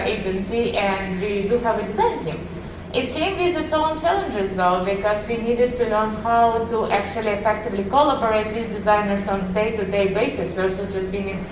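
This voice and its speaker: A woman's voice: mid-range voice, noisy background. A woman from Ukraine with a Ukrainian accent and a slightly muffled voice, giving a conference talk in a friendly way with many "uh" fillers. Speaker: conference speaker. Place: conference session room. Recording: echoey hall, heard from a distance.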